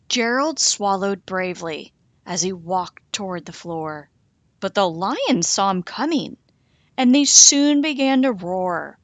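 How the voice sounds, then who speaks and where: Slow expressive woman reading aloud. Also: mid-range voice, no room sound. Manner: slow, expressive, sing-song rhyming delivery. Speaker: storytime reader. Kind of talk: reading a picture book aloud.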